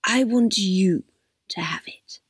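A woman voicing a frail, confused elderly lady who speaks in a hushed, breathy whisper. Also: medium pitch, very animated, slow, no echo, clean studio sound, full character voice. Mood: tender.

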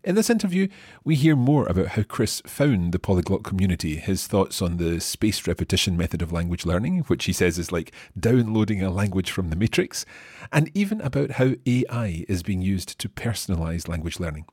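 A man's voice: low voice, sing-song, brisk, darkish tone, husky, dry recording, clean studio sound. A man hosting a podcast, reading a scripted intro and then chatting with a guest in a friendly way, with frequent chuckles. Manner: friendly, chuckles often, some hesitant fillers. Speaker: podcast host and interviewer. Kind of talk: scripted intro then relaxed interview chat.

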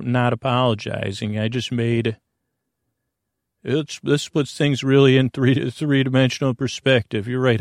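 Man, podcast host, heavy vocal fry, dry close recording, halting, digressive, whimsical, self-correcting sleep monologue. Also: medium pitch, no echo. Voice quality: creaky, gravelly. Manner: whimsical, mildly absurd, apologetic.

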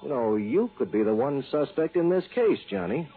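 An actor playing a plain-spoken, seasoned homicide sergeant in a 1960s radio drama, gruffly friendly and colloquial. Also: male voice, on the high side, very animated, moderate pace. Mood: businesslike, serious. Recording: muffled old radio broadcast, phone-like band.

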